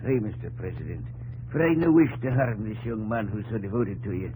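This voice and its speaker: An actor playing a proud, hot-tempered gentleman in 1950s radio drama, declamatory and old-fashioned in his phrasing. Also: lowish voice, expressive, a little fast, natural voice. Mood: contrite. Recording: noisy, humming old radio broadcast.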